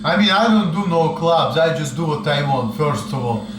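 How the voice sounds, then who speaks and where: A man's voice: fairly high pitch, expressive, moderate pace. A young man with a strong Russian accent, chatting casually and profanely with many fillers in a home kitchen. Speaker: video host. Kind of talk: casual chat. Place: apartment kitchen. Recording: echoey kitchen, far mic, noisy.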